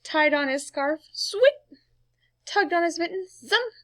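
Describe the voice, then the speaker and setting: Female children's storybook reader: very lively intonation, slow pace, playful and expressive, close dry sound. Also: very high-pitched, dry recording. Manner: playful, emphatic sound words. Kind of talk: expressive read-aloud storytelling for young children.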